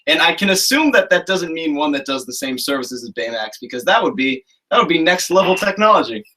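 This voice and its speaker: A young man hosts a casual interview from a toy-filled bedroom, speaking into a webcam. He sounds eager and chatty and laughs easily. His voice is a little high, bright and husky. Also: very animated, a little fast. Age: young adult. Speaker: show host. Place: bedroom with shelves of toys.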